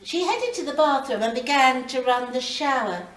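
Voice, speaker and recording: A woman reading literary fiction aloud live, with measured, dramatic phrasing and pauses. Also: high-pitched, animated. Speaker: reader of a short story. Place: library reading event, indoors. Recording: roomy, distant mic, faint background noise.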